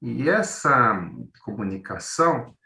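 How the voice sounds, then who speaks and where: Bright, forceful São Paulo man presenting, roomy echo. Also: mid-range voice, animated, slow pace, creaky, distant mic. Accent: São Paulo Brazilian. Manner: conversational, repeats words, illustrates with acted-out everyday examples. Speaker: co-presenter, journalist. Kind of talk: informal academic presentation.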